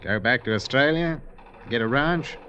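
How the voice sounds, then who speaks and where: An actor in a 1950s radio drama playing a tough, practical, wary sailor who talks in terse, blunt lines. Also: male voice, mid-range voice, expressive, normal speed, bright, forceful tone. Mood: subdued, wary. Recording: dull, faintly noisy vintage radio recording.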